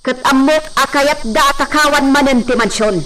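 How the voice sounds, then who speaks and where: A woman's voice: sits high, normal speed, fairly bright tone. A woman with an Ilocano accent playing a bossy, scheming mansion madam in an audio drama, giving orders in a commanding manner. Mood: stern, commanding. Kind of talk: Ilocano radio-style drama acting. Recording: noisy track with crickets and insects.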